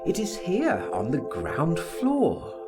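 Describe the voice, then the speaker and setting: Man playing a smooth, insistent seducer, very animated. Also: a little high, takes it slowly, over music, pitched above the performer's own voice, exaggerated voice. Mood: insistent, firm. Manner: coaxing, firm, persuasive.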